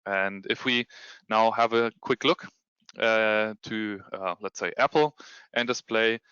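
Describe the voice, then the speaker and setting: A man's voice: lowish voice, takes it slowly, pushed and bright, clear, resonant tone, no echo, highs cut off. German-accented man presenting, hesitant. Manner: hesitant, many ums and restarts. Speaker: security consultant presenting a demo. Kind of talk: webinar presentation with screen share.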